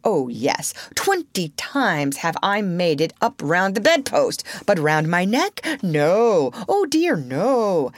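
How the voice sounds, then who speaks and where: A woman's voice: a little high, sing-song, unhurried, no echo, voice pushed up. A woman voicing a sulky, pompous father with melodramatic sarcasm in a storybook reading. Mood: sarcastic. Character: blustering, theatrical, sulky father in a temper. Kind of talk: audiobook character voice.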